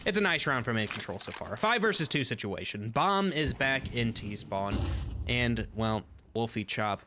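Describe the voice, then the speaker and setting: A man's voice: medium pitch, sing-song, normal speed, noisy background. A man with a Midwestern accent casting an esports match, calling the play and joking with chat. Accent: Midwestern American. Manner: loose, joking, talks back to chat. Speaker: esports caster. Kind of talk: play-by-play commentary mixed with chat replies.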